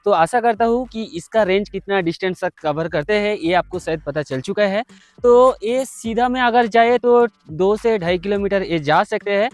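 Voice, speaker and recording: High-pitched man vlogging casually. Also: moderate pace, no echo. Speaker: video host. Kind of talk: casual product field-test vlog.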